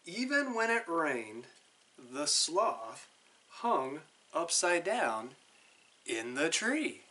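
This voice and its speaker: Male storybook reader, thirties, bright voice, lively swoops, drawn-out deliberate phrasing. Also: on the high side. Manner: deliberate, drawn-out, expressive delivery. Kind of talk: animated children's picture book read-aloud. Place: living room by a brick fireplace.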